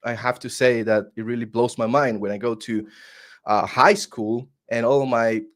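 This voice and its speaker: A man's voice: medium pitch, steady tone, moderate pace. A man from Costa Rica with a Costa Rican Spanish accent, telling his story earnestly as a guest on a video call, often restarting his words. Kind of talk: casual interview storytelling.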